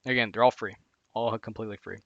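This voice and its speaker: A male streamer with a fairly low voice talks loosely and casually, piling on fillers and circling back on himself. The sound is close and completely dry, with no room around it. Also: fairly even intonation, quick pace, gravelly, no room sound, muffled recording. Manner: rambling, heavy on "like" and "again", frequent restarts. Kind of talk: casual live-stream commentary on finance resources.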